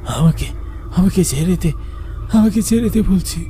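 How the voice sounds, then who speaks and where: A man plays a commanding village exorcist in a horror cartoon. His voice is high for a man yet extremely dark in tone, urgent in delivery, with faint noise behind it. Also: lively intonation, normal speed. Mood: frightened, urgent. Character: stern male village exorcist cleric confronting spirits.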